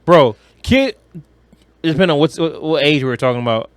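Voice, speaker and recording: A man with a somewhat high voice chats casually on a podcast. He is blunt and slangy and swears freely. The sound is close and dry, with no room on it. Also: animated, moderate pace, clear, resonant tone, dry recording. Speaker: podcast co-host. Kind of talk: casual movie chat.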